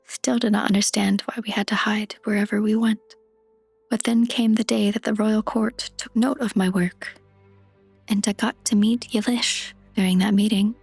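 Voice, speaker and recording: A woman playing a gentle, sorrowful idealist queen in a fantasy game dub, her voice soft, breathy and measured. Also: mid-range voice, no echo. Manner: measured, subdued, solemn.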